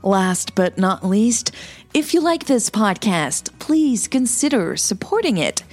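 A woman's voice: medium pitch, sing-song, takes it slowly, darkish tone, husky, no room sound, faint music behind. A woman who hosts and narrates a romantic fiction podcast, reading stories and speaking to her listeners.

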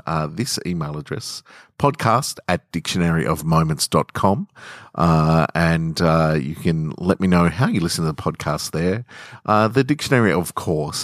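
A man's voice: low voice, no room sound, studio quality. An Australian man hosting a story podcast, speaking in an easygoing, self-deprecating way. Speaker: podcast host and story reader. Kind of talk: story reading and casual podcast sign-off. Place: home garage.

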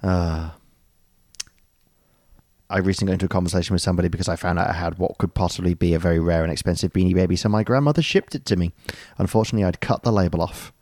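A man's voice: low-pitched, fast talker, a little mellow, dry recording, studio quality. A man co-hosting a podcast, chatting casually and rambling through a story with plenty of ums and jokey asides. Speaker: podcast co-host. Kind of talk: casual chat.

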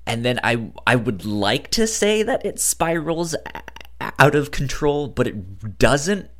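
A man with a stutter and a halting delivery, casually discussing films as a podcast co-host. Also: mid-range voice, very animated. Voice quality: stutter, repeats first sounds of words. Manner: halting, hesitant delivery with frequent restarts.

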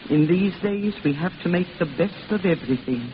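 An actress playing a frank, kindly old grandmother in a 1940s radio drama, gentle, knowing and amused. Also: on the low side, expressive, normal speed, natural voice. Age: older. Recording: muffled, hissy old radio recording.

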